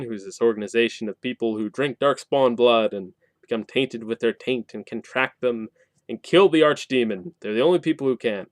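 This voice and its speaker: A man's voice: mid-range voice, no room sound. Casual, wry man in a dry room. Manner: colloquial and wry, with sarcastic asides and mock dialogue. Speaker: tabletop game reviewer and host. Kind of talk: casual spoken review, explaining a game setting.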